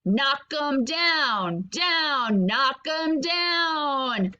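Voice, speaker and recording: A small, furry male rabbit from a storybook, acted out by a woman reader. He is rude and haughty, talking in a bright, snippety way. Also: sits high, animated, drawn-out words, highs cut off, full character voice. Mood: shouting excitedly.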